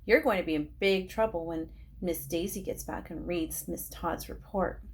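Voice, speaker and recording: A woman's voice: on the low side, moderate pace, slight background noise, close to an everyday voice. A woman voicing a snippy, competitive schoolgirl know-it-all in a children's storybook. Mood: bossy.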